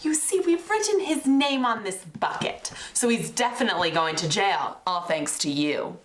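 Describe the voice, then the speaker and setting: A woman's voice: mid-range voice, animated, normal speed, natural voice. A woman playing a scheming, flippant lover in a comedy audio drama, gloating in a mock-sweet, melodramatic way. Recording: echoey room, faint noise.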